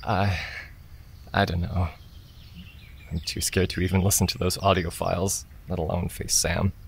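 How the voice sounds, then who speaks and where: A man's voice: deep voice, little pitch movement, normal speed, creaky, slight background noise. A young man acting an anxious, self-doubting, earnest twin brother in an audio drama. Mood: dejected, unsure. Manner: hesitant, earnest.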